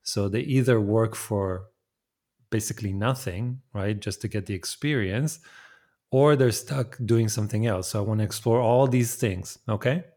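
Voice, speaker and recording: A man's voice: fairly low pitch, normal speed, darkish tone. A man hosting a podcast interview, conversationally laying out the topics he wants to explore. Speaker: podcast host. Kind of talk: interview questions.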